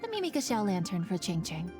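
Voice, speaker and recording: A woman's voice: mid-range voice, huge pitch swings, quick pace, over music. A woman voicing a friendly young lantern maker in a video game, motherly and coaxing.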